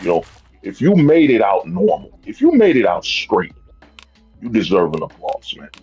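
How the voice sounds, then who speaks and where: A man's voice: fairly low pitch, huge pitch swings, moderate pace, a little mellow, slightly rough, highs cut off, soft background music. A man in his thirties hosting a livestream, talking in a rambling, casual rant and dropping "bro" and "you know what I'm saying" often. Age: 30s. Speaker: streamer host.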